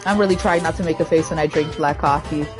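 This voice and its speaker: Low, slightly hoarse young woman. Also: steady tone, quick pace, music in the background. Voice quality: naturally low, deep voice. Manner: rambling, chatty, easily sidetracked. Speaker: streamer. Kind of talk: casual gaming stream chat.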